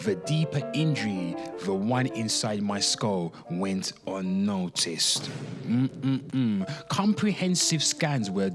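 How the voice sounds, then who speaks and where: A man hosting an online show, giving a dramatic reading with off-the-cuff commentary, drawn-out exclamations and asides to his listeners. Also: fairly low pitch, sing-song. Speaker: host of an online pop culture show. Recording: music playing under the voice. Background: music.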